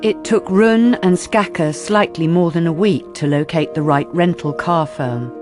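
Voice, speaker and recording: A woman narrating a radio drama from a script, measured and factual. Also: on the low side, over music.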